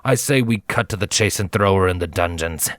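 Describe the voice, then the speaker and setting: A man voicing a gruff, irritable male pony soldier in a storybook reading, grumbling in an angry hiss, deferential but impatient. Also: deep voice, brisk, fairly bright tone, no echo, clean studio sound. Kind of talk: storybook character voice in fan fiction reading.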